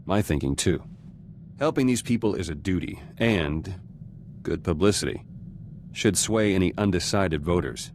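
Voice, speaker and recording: A gruff rebel turned reluctant politician in a sci-fi game. His voice is very low, and he talks in blunt, clipped, dry phrases. Faint noise runs behind the dialogue. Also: animated, moderate pace, a little mellow. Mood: businesslike, determined. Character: gruff, terse male resistance fighter turned reluctant politician. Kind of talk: cinematic video game voice acting.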